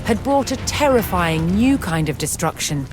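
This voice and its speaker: A woman reading scripted documentary-style narration in a measured, solemn and dramatic storytelling manner. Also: fairly low pitch, sing-song. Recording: music behind the voice.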